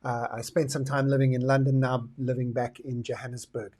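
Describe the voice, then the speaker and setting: A man's voice: mid-range voice, flat delivery, fairly quick, dark tone. A middle-aged South African man hosting a talk, explaining his views in a reflective way. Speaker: host. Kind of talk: talk to camera on current affairs and the future.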